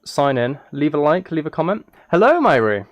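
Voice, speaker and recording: A man's voice: on the high side, big pitch swings, moderate pace, dry recording. A man livestreaming casually, reacting and chatting in an easily sidetracked, self-deprecating way. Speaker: live streamer. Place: indoors at a streaming setup.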